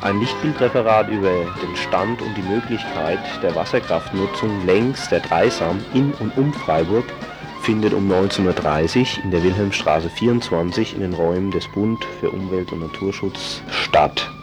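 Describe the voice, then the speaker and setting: A man presenting a radio events calendar, reading the listings in an unhurried, hesitant way with fillers and self-corrections. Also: low-pitched, music in the background.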